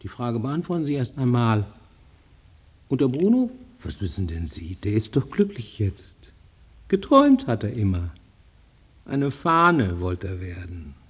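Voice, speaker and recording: A man's voice: medium pitch, big pitch swings, measured pace, slightly rough. An actor playing an agitated male psychiatric patient in a radio drama, defending himself in fragmented, insistent bursts. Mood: insistent, rambling. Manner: rambling, fragmented, insistent self-justification.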